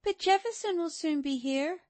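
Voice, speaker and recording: A narrator voicing a farmer's loyal, spirited young daughter in a storybook. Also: female voice, extremely high pitch, moderate pace, darkish tone, no echo, pitched above the performer's own voice.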